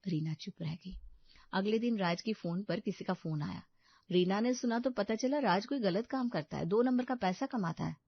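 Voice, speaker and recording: A woman's voice: medium pitch, lively intonation, normal speed, slightly rough, no echo, lo-fi sound. A woman narrating a scripted moral story as its storyteller.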